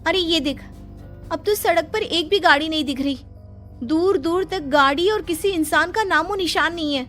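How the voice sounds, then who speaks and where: A woman's voice: very high-pitched, normal speed, fairly bright tone, soft background music. A woman playing a frightened, anxious young office worker in a cartoon horror story, nervous and pleading. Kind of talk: animated Hindi horror story dubbing.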